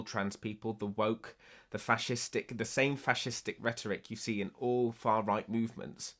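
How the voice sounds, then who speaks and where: A man's voice: on the low side, a bit bright. A man, speaking as an activist in a documentary interview, emphatic, frustrated and blunt.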